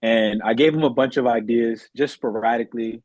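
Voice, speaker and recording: A man's voice: mid-range voice, steady tone, normal speed, a little mellow, no room sound. A young man from Massachusetts talking earnestly and politely as a guest in a casual interview. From Massachusetts, United States. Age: young adult.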